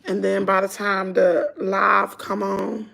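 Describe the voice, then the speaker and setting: A middle-aged woman with a Southern US accent, chatting hoarsely to her viewers at home on a phone livestream. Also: mid-range voice, little pitch movement, slow pace, a bit bright. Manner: chatty, laughing, drawling, talks to "y'all". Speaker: vlogger on a morning livestream. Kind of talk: casual chat with viewers. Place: at home indoors.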